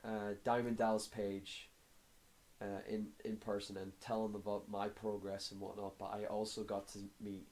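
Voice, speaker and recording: A hoarse man with a cold gives a casual progress update to camera, talking haltingly with many filler pauses. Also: on the low side, level tone, a little mellow, rough recording. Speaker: fitness vlogger.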